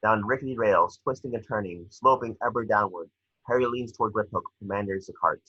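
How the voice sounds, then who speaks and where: A man's voice: lowish voice, a little fast, sharp tone, husky, no echo, dull audio. A man reading stage directions as narrator for a screenplay table read.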